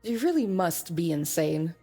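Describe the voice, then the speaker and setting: Woman playing a slow-spoken, wary war goddess. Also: fairly low pitch, big pitch swings, warm tone, slightly rough, heavily acted. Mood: wary, incredulous. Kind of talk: visual novel voice acting. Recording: long reverb, music behind.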